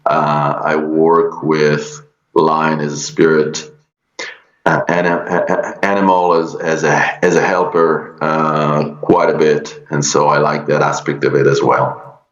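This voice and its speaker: Casting: middle-aged Israeli man, very low voice, slow and halting, echoey room on lo-fi audio. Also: flat delivery. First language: Hebrew. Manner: halting, many fillers and restarts. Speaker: guest, energy healer. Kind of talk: podcast interview.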